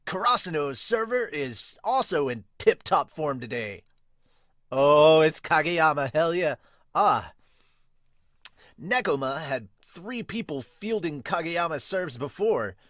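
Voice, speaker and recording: A man hosting a podcast, reading manga aloud and reacting casually with excitable, sweary interjections. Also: on the high side, no room sound, clean studio sound. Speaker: podcast host.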